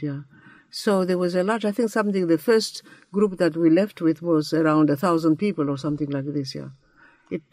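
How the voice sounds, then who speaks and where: Elderly woman, halting, repeats yeah often, dry close sound. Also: low-pitched, warm tone, dry recording. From Romania. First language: Romanian. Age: around 90. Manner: halting, many repeated yeahs. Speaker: interviewed poet. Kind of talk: reflective conversation.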